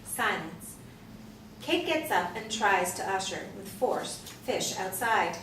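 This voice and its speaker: A woman's voice: mid-range voice, expressive, slow pace, fairly bright tone. A woman reading stage directions as scripted narration for a play. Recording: very echoey, distant room with noise.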